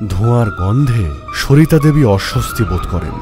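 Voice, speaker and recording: A man giving scripted narration of an animated horror story. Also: medium pitch, normal speed. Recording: music behind the narration.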